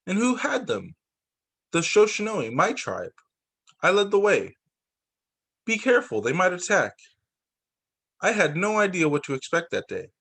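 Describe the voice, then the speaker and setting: A man reads a children's book aloud as a teacher would. His voice sits a bit high and moves with very animated intonation. He phrases things deliberately and expressively for young listeners. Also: vocal fry, slightly rough. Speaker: teacher reading a picture book aloud to students. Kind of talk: expressive read-aloud storytelling.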